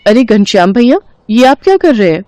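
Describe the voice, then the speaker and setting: A woman's voice: fairly high pitch, very animated, brisk, warm tone, slight background noise. A woman voicing a poor, devoted, polite young wife and mother-to-be in a moral story, with an earnest, pleading manner. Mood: polite, friendly. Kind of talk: animated moral-story dialogue.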